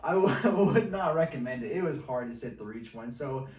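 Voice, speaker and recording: A man talks casually as co-host of a film podcast. His pitch sits in a middle range for a male voice. The sound is roomy and echoey, picked up a little way from the microphone. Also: expressive, brisk, a little mellow. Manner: informal, conversational. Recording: roomy echo, distant microphone.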